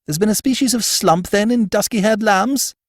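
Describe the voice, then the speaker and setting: A man's voice: high-pitched, moderate pace, no room sound, clean studio sound, pitched above the performer's own voice. A man reading an audiobook and voicing a chatty, jaunty young upper-class man who teases his friend with airy slang. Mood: teasing. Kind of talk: audiobook character voice.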